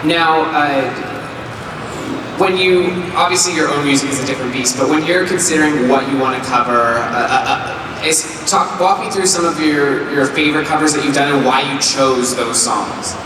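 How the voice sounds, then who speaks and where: A man interviewing a musician, asking his questions haltingly with repeated "uh" restarts. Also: on the high side. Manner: halting, fumbling starts with "uh". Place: busy convention floor. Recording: echoey convention hall from far off, loud crowd noise.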